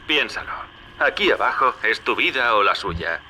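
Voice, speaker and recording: A man's voice: medium pitch, big pitch swings, moderate pace, noisy background, lightly acted. A man with a Castilian Spanish accent playing a wily, folksy hustler in a video game, talking in a chummy, colloquial, paternal way. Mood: persuasive, chummy. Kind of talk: video game character acting.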